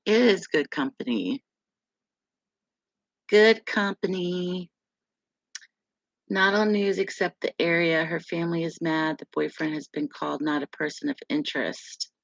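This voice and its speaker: A middle-aged woman from West Virginia with a deep, gravelly voice, hosting a casual live chat with viewers, rambling and laughing often. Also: measured pace, long pauses, dry recording, rough recording. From West Virginia, USA. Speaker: live stream host.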